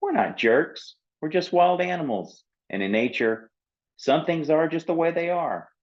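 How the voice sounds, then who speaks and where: A man's voice: fairly high pitch, lively intonation, a little slow, highs cut off, pitched above the performer's own voice. A man voicing a defensive, sheepish seal who feels misunderstood, in a picture book read-aloud. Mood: apologetic.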